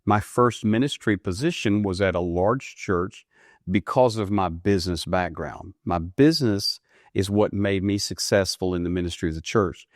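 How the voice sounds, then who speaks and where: A man in his fifties from the United States, answering interview questions earnestly, often rising in pitch for emphasis. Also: normal speed, no echo, studio quality. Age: 50s. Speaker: guest, ministry leader. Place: studio.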